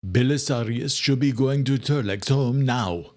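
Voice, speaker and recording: A man's voice: medium pitch, unhurried, a little mellow, no echo, voice pushed up, close to an everyday voice. Man playing vampire duke, angry, blunt, accusing, formal speech, audiobook thriller. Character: angry male vampire duke challenging his king.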